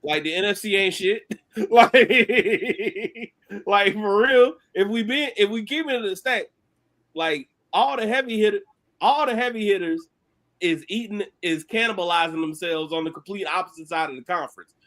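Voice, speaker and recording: A man in casual sports debate on a podcast, animated and slangy, cursing and laughing as he argues. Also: sits high, moderate pace. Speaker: podcast panelist.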